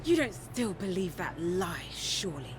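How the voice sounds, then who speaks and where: A woman's voice: lowish voice, big pitch swings, unhurried, background noise. A woman playing a defiant, scornful rival warrior in a fantasy video game, with disdainful, theatrical delivery. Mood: mocking. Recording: loud orchestral music behind voice.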